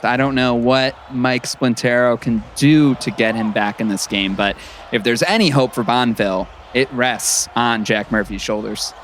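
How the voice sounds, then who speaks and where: An actor playing an earnest small-town play-by-play announcer calling a basketball game in a measured announcer's manner. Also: male voice, mid-range voice, fairly bright tone, slight background noise, natural voice. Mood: earnest concern. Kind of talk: basketball play-by-play in an audio drama.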